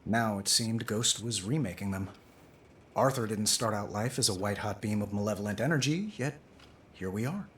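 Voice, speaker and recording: An actor playing a weary, wisecracking superhero tycoon in a video game, dry and flippant. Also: male voice, lowish voice, normal speed, a little hiss or hum, lightly acted. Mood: wry narration. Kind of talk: cinematic video game narration and dialogue.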